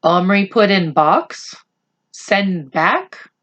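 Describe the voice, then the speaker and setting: A woman's voice: medium pitch, animated, very slow, a bit bright. A woman voicing a tiny, proud male Native chief who speaks in clipped broken English with short blunt phrases, in a storybook reading. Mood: flat, guarded.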